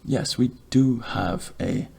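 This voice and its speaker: Hushed, very creaky low-key man doing ASMR. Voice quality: breathy, hushed, half-whispered delivery. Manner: gentle, courteous, unhurried reassurance. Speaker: ASMR creator playing a night-shift barber. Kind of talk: ASMR roleplay, soft-spoken to whispering.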